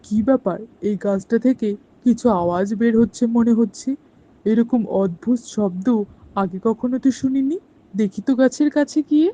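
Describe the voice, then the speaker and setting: Angry farmer dad, lady doing him, warm dark tone, stern, muffled sound. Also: fairly high pitch, moderate pace, voice pushed down, close to an everyday voice. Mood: puzzled. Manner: stern, outraged. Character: stern, indignant farmer father. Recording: dull lo-fi sound.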